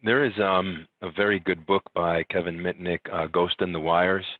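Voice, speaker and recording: A man's voice: low-pitched, steady tone, normal speed, dry recording. A man taking part in an online class, talking casually over narrow phone-like call audio. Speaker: class participant. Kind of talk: casual spoken contribution to a class.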